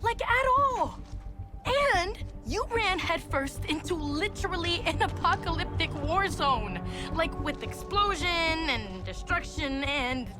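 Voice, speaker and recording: A woman's voice: very high voice, very animated, slow, loud music behind the voice, exaggerated voice. An actress playing a sarcastic, rebellious eighteen-year-old girl in a video game cutscene. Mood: defiant. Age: young adult. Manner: sarcastic, valley-girl fillers.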